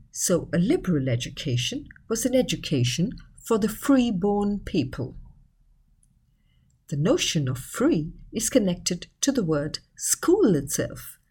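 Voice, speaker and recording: Expressive woman narrator, slightly husky. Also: medium pitch. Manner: measured, explanatory reading. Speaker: article narrator. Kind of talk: scripted educational narration.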